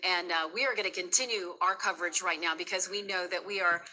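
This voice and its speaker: A woman's voice: lowish voice, quick pace, sharp tone, poor audio. An American woman co-anchoring live, unscripted breaking weather coverage from a TV studio. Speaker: news anchor.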